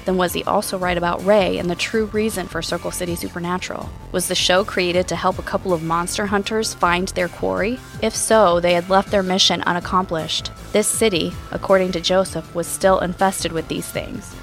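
An actress playing a thoughtful, inquisitive podcast host, narrating a scripted mystery in a measured, wry, reflective way. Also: female voice, on the low side, fairly even intonation, vocal fry, faint music behind. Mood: pondering.

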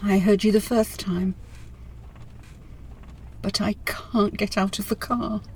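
A woman acts a middle-aged career woman in a radio play, withdrawn and despairing. Her mid-pitched voice is terse and guarded, with clear ambient noise behind it. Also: fairly even intonation, slow pace, a little mellow, lightly acted. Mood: curt. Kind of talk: radio drama acting.

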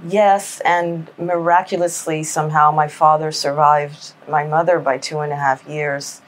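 Reflective woman guest, lowish voice. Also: level tone, measured pace. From Miami, United States. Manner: reflective and candid, with soft fillers. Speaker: podcast guest, writer. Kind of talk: candid conversational interview.

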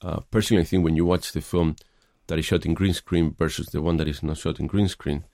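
A man's voice: a bass voice, fairly even intonation, fast talker, dark tone, no room sound, clean studio sound. A middle-aged man from Spain with a Spanish accent, answering interview questions thoughtfully, with many hesitations and fillers. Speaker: film director.

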